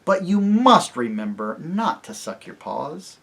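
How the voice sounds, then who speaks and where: A storybook narrator playing a young king, grave, courteous and decisive, speaking in a measured way. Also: mid-range voice, big pitch swings, gravelly, a bit bright, husky, pitched above the performer's own voice, exaggerated voice. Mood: kindly firm.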